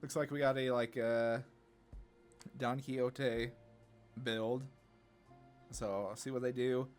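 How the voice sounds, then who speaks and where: A man with an average-pitched voice thinks aloud as he commentates a game. His talk is casual, slangy and laid-back, like a video host playing along. Music runs underneath his voice. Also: fairly even intonation, slow.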